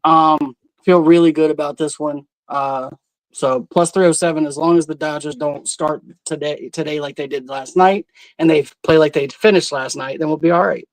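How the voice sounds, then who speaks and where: A man's voice: on the high side, fairly even intonation, normal speed, no room sound, low-quality recording. A man co-hosting a sports betting podcast, casually walking through his picks with stats. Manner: laid-back, explains picks with stats.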